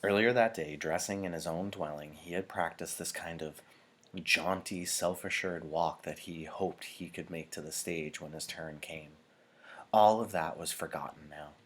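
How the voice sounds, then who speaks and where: A man with a deep voice and a husky grain narrates a novel. He reads expressively in an audiobook style, as a teacher reading aloud would. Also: normal speed, a bit bright.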